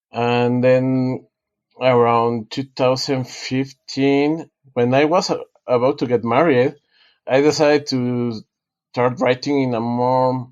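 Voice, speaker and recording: Author guest, male, Spanish native; mid-pitched, hesitant and full of restarts, muffled remote sound. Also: darkish tone. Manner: halting, many fillers and restarts. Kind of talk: casual interview talk.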